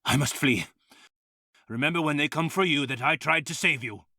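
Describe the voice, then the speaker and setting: A man's voice: on the high side, normal speed, bright, forceful tone, no room sound, studio quality. A man playing a desperate king on the run, grave and urgent, in a visual novel drama. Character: desperate fleeing king, urging his priestess sister.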